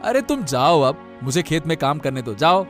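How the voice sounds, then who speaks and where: A man plays a principled young village farmer in a cartoon moral tale. He sounds earnest and scolding in a very high male voice, over background music. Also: sing-song, moderate pace, fairly bright tone. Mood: indignant. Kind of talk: Hindi cartoon moral-story dialogue. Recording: music bed under the voice.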